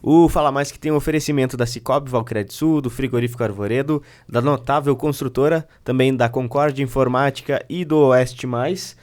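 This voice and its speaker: A male co-host reads a scripted podcast opening. He delivers it like an announcer, steady and even, at a middle male pitch. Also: moderate pace, few pauses.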